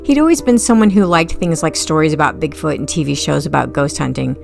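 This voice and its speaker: A woman's voice: low voice, a little fast, creaky, music in the background. A woman reading first-person fiction as an audiobook narrator, in a wry, conversational way.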